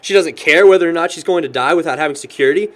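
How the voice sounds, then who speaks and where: A man's voice: on the high side, rapid-fire, close to an everyday voice. A young man with an American accent playing a sentimental, good-natured guy in an audio drama. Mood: exasperated. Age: young adult. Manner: chuckles often, animated storyteller. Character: cheerful young man, sentimental but worried about his sister.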